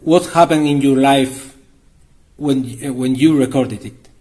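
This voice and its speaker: A man interviewing a musician in English, his first language being Spanish, asking questions in a slow, searching way. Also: medium pitch, fairly even intonation, darkish tone.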